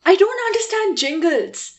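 A woman's voice: extremely high pitch, animated, moderate pace. A young woman with an Indian accent playing a flustered, defensive stage actress in a radio play, protesting indignantly. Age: young adult.

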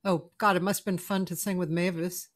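A woman's voice: fairly low pitch, fairly even intonation, brisk. A woman in her sixties hosting a casual video-call interview from her home music room, effusive and often searching for words. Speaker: host. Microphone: studio mic on a video call.

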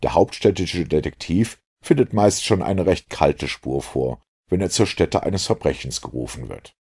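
A man's voice: sits low, moderate pace, a little mellow, breathy edge. A man reading scripted literary narration as an audiobook narrator.

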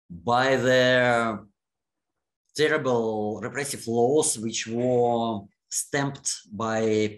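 Slow Russian-accented man, deliberate. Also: mid-range voice. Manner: deliberate, searching for words, repeats and restarts. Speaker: interviewee, anti-war activist. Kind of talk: long answers in an interview.

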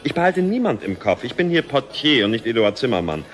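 An actor playing a gruff, impatient hotel porter with a curt, mocking manner in a German sci-fi radio play. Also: male voice, medium pitch, very animated, fairly quick, natural voice. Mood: exasperated, sarcastic. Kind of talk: German science-fiction radio play acting.

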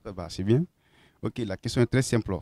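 A man's voice: on the low side, fairly quick, dark tone, dry recording. A young man doing a casual street interview outdoors with a handheld microphone, friendly and repeating answers back.

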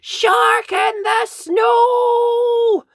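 A reader voicing a young boy who shouts high, shrill warnings in alarm. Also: drawn-out words, dry recording, exaggerated voice. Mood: alarmed shouting.

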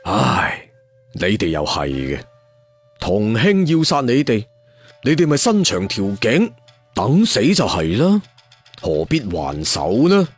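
A man voicing a brooding, menacing young leader of swordsmen in a storybook, slow, weary and threatening. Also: fairly low pitch, wildly swooping pitch, slightly rough, faint music behind, exaggerated voice. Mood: sighing scorn.

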